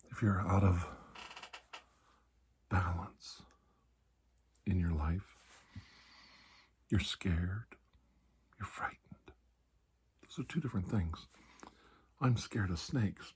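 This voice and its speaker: A man playing a calm, reassuring doctor in a soft-spoken ASMR roleplay, speaking slowly and deliberately in a breathy, husky voice that drifts into whispers. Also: sits low, a little hiss or hum. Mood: soothing. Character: calm, reassuring male doctor treating alien skin disease.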